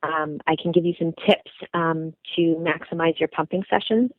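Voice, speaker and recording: A woman who is a lactation consultant gives calm, instructive advice with frequent ums, heard over a phone-like recording. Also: low-pitched, normal speed, no room sound. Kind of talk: advice answer. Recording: phone-like, narrow band.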